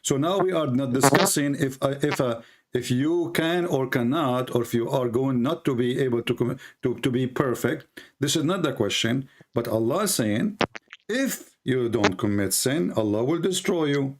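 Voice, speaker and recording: A man's voice: medium pitch, expressive, normal speed. A man whose first language is Arabic, hosting an argumentative live debate in non-native English, insistently repeating his phrases. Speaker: host, religious debater.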